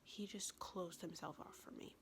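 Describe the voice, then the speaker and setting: A young woman telling a personal story in a soft, confessional whisper, vlog style. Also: fairly low pitch, steady tone, moderate pace.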